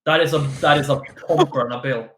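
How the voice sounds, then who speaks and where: A man's voice: fairly high pitch, fairly even intonation, fast talker, a bit bright. A playful, giggly man with a slightly raised voice, rambling and restarting as he jokes around. His voice rings with a roomy echo, as if he were sitting in a bare room. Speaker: guest, esports player. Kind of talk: casual chat on a stream.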